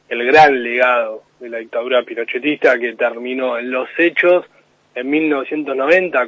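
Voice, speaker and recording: A man explaining news analysis to a radio host as correspondent, steady and with frequent restarts. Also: mid-range voice, dry recording. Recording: phone-line call into radio.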